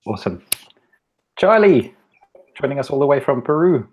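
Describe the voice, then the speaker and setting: Easygoing male moderator of an online chat, mid-pitched, loose and unscripted. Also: moderate pace. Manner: relaxed, stumbling over words. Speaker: host and moderator. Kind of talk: casual live stream chat.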